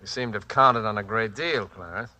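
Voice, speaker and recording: A man's voice: lowish voice, fairly quick, pushed and bright. An actor playing a protective, suspicious husband and father, plain-spoken and blunt, in 1940s radio drama style. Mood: suspicious, firm. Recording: vintage 1940s radio recording.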